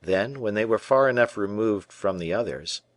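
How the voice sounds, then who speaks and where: Man reading a classic novel aloud, lowish voice, steady pace, super dry close mic. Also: moderate pace, no echo. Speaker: audiobook reader. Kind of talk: audiobook narration of a classic novel.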